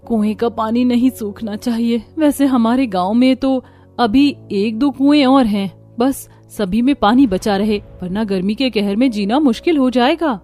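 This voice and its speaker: A woman's voice: a little high, normal speed, dark tone, faint music behind, natural voice. A woman voicing earnest, fretful young village daughters-in-law in a cartoon story, with dramatic delivery. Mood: worried. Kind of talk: Hindi cartoon story dialogue.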